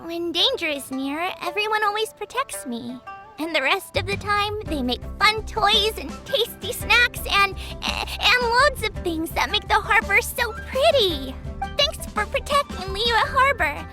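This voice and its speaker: Very high childlike little girl. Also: expressive, unhurried. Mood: proud, innocent. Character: innocent cheerful little girl, curious and trusting. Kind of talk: English video game voice acting. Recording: music playing behind the voice.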